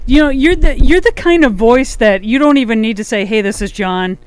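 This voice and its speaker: A woman's voice: a little high, a little fast, clean tone, no room sound, low-quality recording. A woman hosting a radio marathon, chatting with a guest on the phone and laughing along. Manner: friendly, laughs along, upbeat. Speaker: radio host. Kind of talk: casual radio chat. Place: radio studio.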